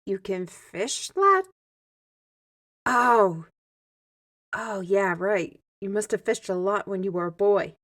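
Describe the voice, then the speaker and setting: A woman's voice: mid-range voice, animated, takes it slowly, pauses often, fairly bright tone. A woman playing a scrappy, sardonic squire in a fantasy audio drama, with a wry and informal way of talking. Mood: wry, skeptical. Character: sardonic, scrappy female squire, quick to quip.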